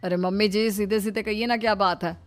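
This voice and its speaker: A woman's voice: mid-range voice, fairly even intonation, fairly quick, soft background music, lightly acted. Woman voicing a pushy young son-in-law. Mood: impatient.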